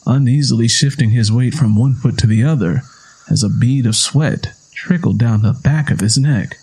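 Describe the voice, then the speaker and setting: A man's voice: mid-range voice, moderate pace, warm tone, husky, rough recording. A man reading scripted fiction as the narrator of an audio story. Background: music.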